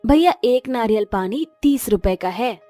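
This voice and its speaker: A woman's voice: on the high side, expressive, unhurried, dark tone, soft background music. A woman voicing a humble, earnest old mother-in-law in a cartoon moral story. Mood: helpful. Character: humble, hardworking old widowed mother-in-law selling coconut water. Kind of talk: animated moral-story voice acting.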